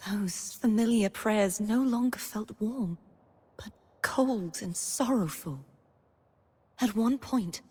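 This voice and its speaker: A woman playing a gentle, earnest, solemn young knightly maiden in a video game, speaking softly in a scripted monologue. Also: a little high, slightly rough. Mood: solemn, sorrowful. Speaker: game character voice actor. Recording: long reverb on the voice.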